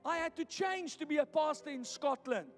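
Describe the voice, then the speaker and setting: A man's voice: very high voice, moderate pace. A pastor with a South African accent and Afrikaans as his first language, preaching a blunt, teasing, emphatic sermon in a church hall. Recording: echoey hall with music.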